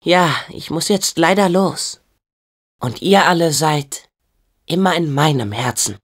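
A man playing an eager, loyal teenage boy hero in a video game, with an expressive, earnest, youthful delivery. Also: fairly high pitch, unhurried, long reverb tail. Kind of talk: video game voice acting, German dub.

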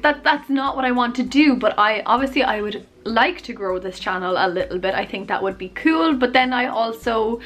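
A woman in her twenties rambling casually to camera in her bedroom, chatty and self-deprecating, her voice a little hoarse. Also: fairly high pitch, a bit bright. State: losing her voice, coughing. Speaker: YouTuber. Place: bedroom, indoors. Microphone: camera on tripod at arm's length.

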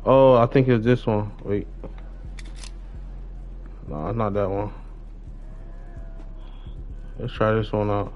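A man's voice: lowish voice, steady tone, takes it slowly, long pauses, a little hiss or hum. Young american guy streaming a game, slangy n chatty, kinda mumbling to himself, dull sounding mic. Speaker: live streamer. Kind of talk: casual gaming livestream chat.

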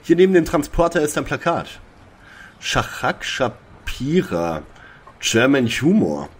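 Guy playing a snarky teen detective in an audio play spoof, husky n animated. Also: mid-range voice, slow, heavily acted. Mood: brisk. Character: skeptical, sarcastic teenage boy detective. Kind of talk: German detective radio play parody.

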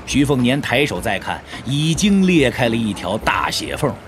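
A man's voice: mid-range voice, big pitch swings, moderate pace, over music. A man narrating a martial arts audio drama in a dramatic, scripted storytelling style.

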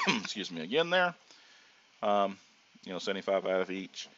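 A hoarse man casually narrating his own gameplay as a channel host, rambling with plenty of ums and restarts. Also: low-pitched, very animated, moderate pace, pushed and bright. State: hoarse from sinus trouble. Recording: dull lo-fi audio.

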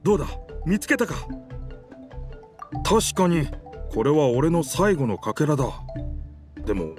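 A hearty but wistful boat spirit from an anime game, speaking like a rough old seafarer. The voice sits a little high and moves widely in pitch, with expressive sighs. Faint music runs underneath. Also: male voice, unhurried. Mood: hearty, curious. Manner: rough sailor phrasing, expressive, sighing. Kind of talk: Japanese anime game acting.

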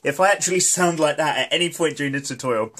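A man's voice: a little high, quick pace, fairly bright tone, slight background noise. A British man with a blocked-up nose from a cold, hosting a drawing tutorial in a chatty, jokey, self-deprecating and mildly sweary way. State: congested with a cold. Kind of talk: casual, rambling tutorial narration. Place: indoors at a desk, hands filmed from above.

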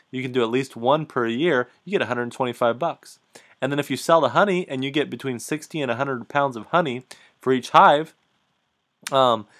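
A man talking casually at his computer as he clicks through slides, his voice sitting at an ordinary middle pitch. He explains in a loose, halting way, trailing off and restarting his sentences and correcting himself as he goes. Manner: halting, many restarts and fillers, self-correcting. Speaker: hobby beekeeper explaining a slideshow.

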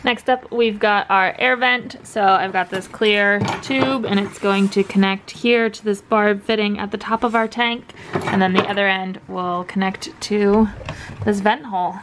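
A woman's voice: medium pitch, fairly even intonation, a little slow, background noise. A young woman walking through plumbing steps on a bus conversion, practical and step by step, with the odd "um". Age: young adult. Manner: practical, step-by-step, with frequent ums. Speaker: vlogger building out a bus. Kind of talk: DIY how-to explanation. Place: inside a bus under conversion.

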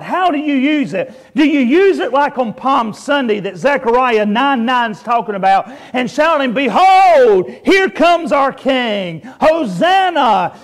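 A pastor preaching to his congregation in a strikingly high voice. This man slows down to press on key words and keeps asking the room for an amen. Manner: preaching with drawn-out, emphatic calls to rejoice; asks the congregation for amens. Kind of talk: sermon. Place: church.

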